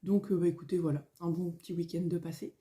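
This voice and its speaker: A middle-aged woman, a little hoarse, chatting casually at home in a vlog, rambling with many fillers and restarts. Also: on the low side, level tone, fast talker, warm tone. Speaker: coloring vlogger. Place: at home, indoors.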